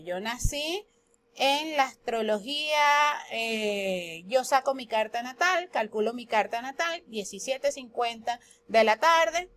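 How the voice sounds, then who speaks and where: Colombian woman explaining astrology, kinda high voice, asks herself questions then answers. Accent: Colombian Spanish. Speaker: astrology teacher. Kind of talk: spoken lesson on Vedic astrology.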